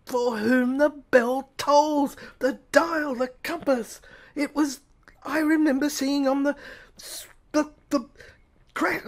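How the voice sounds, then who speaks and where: A woman narrating an audio play in a halting, fragmented, intense first-person dramatic style. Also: high-pitched.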